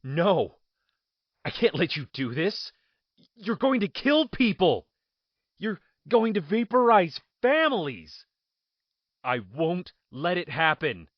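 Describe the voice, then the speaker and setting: An actor playing an earnest, defiant fugitive hero in an audio drama, speaking with urgency. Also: male voice, high voice, big pitch swings, slow, pauses often, no room sound, exaggerated voice. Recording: muffled sound, music behind.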